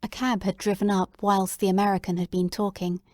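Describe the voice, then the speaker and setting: A woman's voice: mid-range voice, little pitch movement, brisk, darkish tone, no echo, studio quality. A woman reading scripted story narration as the narrator of an audiobook.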